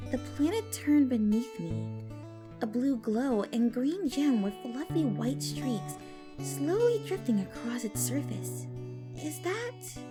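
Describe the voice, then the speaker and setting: Animated high-voiced woman narrator. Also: slow, over music. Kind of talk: expressive first-person fan fiction narration.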